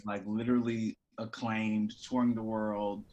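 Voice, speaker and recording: A man talks as a guest actor in a casual interview. His pitch is a little low, with a light, jazzy sound. The delivery is loose and conversational. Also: flat delivery, a little slow, mellow, muffled recording. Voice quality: thin, jazzy.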